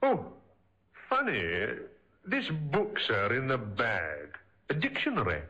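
Dutiful, matter-of-fact sergeant, sharp voice, dull vintage radio recording. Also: male voice, mid-range voice, wildly swooping pitch, takes it slowly, heavily acted. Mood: dutiful, observant. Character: dutiful, plain-spoken male police sergeant. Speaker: actor. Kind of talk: 1950s radio acting. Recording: muffled old radio recording.

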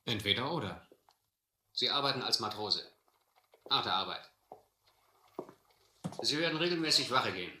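An actor playing a stern, determined sea captain who narrates and commands in a firm, measured manner in a radio adventure play. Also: male voice, mid-range voice, lively intonation, pushed and bright, reverberant. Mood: firm, terse. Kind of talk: German audio adventure drama.

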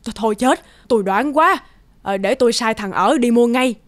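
An actress playing the exasperated, forgetful wife of a rich villager in an animated folktale. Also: female voice, fairly high pitch, moderate pace, no echo, close to an everyday voice. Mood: flustered.

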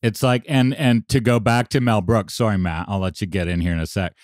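A man co-hosting a podcast, chatting casually and summing up points in a relaxed way. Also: lowish voice, brisk, dry recording, clean studio sound. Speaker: podcast co-host.

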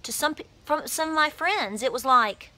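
A woman's voice: high-pitched, lively intonation, normal speed, bright, forceful tone. A woman, talking emphatically and animatedly to a phone camera outdoors on her porch as a homesteading vlogger. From Appalachia, USA. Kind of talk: passionate straight-to-camera talk. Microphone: phone camera at arm's length.

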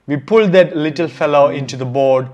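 A man's voice: on the high side, fairly quick, a little mellow, muffled recording. A man reading a scripted voice-over about a trip as a vlog narrator. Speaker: vlogger narrator. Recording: music behind the voice. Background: background music.

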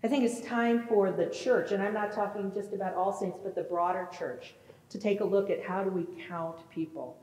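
A middle-aged woman pastor preaching a sermon in a large church sanctuary, animated and questioning her congregation. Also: medium pitch, normal speed. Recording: echoey church with long reverb.

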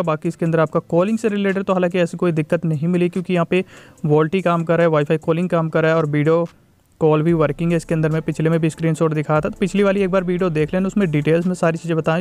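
Fast-talking man reviewing tech. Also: on the high side, darkish tone, smooth. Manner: repetitive, running commentary with English tech terms mixed in. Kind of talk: casual phone software review voice-over.

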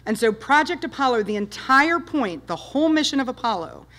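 A woman in her fifties giving an enthusiastic public talk with slides, loud and emphatic, firing rhetorical questions at the audience. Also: sits high, lively intonation, fairly quick. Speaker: guest speaker, space history presenter. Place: dark lecture hall with projector screen.

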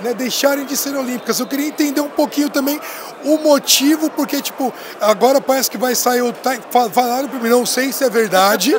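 A man in his thirties interviewing a guest on a handheld mic at a busy expo hall, asking relaxed, rambling questions. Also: very high-pitched, brisk, a little hiss or hum. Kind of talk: casual interview.

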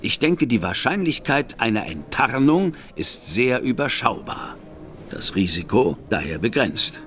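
An actor playing a composed, shrewd older brother who lays out plans in a measured, wry manner. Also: male voice, mid-range voice, sing-song, a bit bright. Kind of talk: German audio drama acting. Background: faint background score.

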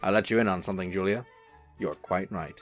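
An actor playing a suave, teasing angel in human form, smooth and courtly in a radio-style drama. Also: male voice, deep voice, fairly quick, faint music behind, natural voice. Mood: playful.

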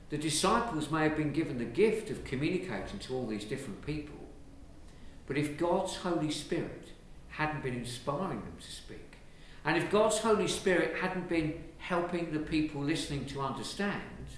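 English priest preaching, slightly high voice that swings around a lot, big echoey church, mic far off. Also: male voice, a little hiss or hum. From England. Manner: lively, conversational preaching with gestures. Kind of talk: sermon. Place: large church, indoors. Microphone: far camera.

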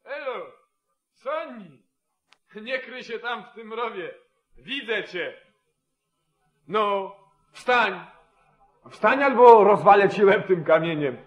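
An actor playing a suspicious, wavering landowner who questions sternly, in the style of old radio drama. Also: male voice, sits high, expressive, takes it slowly, pauses often. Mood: threatening. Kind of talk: 1940s Polish radio drama acting.

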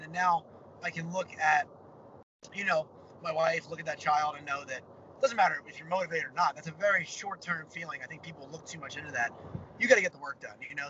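Young guy rattling on fast from his car on a video call, bright voice, kinda dull audio w faint car hum. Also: on the high side. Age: young adult. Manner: upbeat, rambling, lots of fillers. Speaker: pro fighter interviewee. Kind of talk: casual video-call interview. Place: inside a parked car. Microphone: phone camera on a video call.

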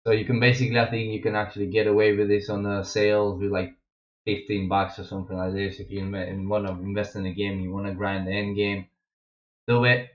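A man's voice: fairly low pitch, level tone, a little fast. A young Russian-born man with a Russian accent, chatting casually as a streamer in his gaming room, rambling with many fillers and restarts. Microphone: wireless headset. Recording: echoey, dull lo-fi home mic.

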